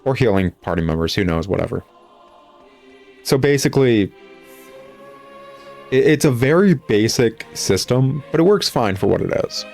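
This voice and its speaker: A man's voice: medium pitch, lively intonation, moderate pace, creaky, pauses often, a little mellow, soft background music. A man giving casual, critical video game commentary in a sarcastic, exasperated, rambling way. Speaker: gaming video host, critic.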